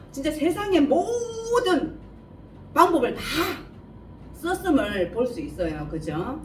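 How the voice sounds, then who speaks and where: A woman's voice: fairly high pitch, darkish tone. A woman preacher with a regional Korean dialect and slightly imprecise, lisping articulation explains scripture verse by verse, putting questions to listeners. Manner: asks listeners rhetorical questions and answers them. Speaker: preacher giving a Bible message. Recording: echoey room, distant mic, faint noise.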